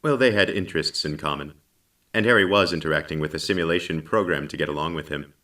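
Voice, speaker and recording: An actor playing a calm, helpful ship computer in an audio drama, speaking in a measured, matter-of-fact way. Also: male voice, a bass voice, recorded from a distance. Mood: reflective. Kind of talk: scripted audio drama acting.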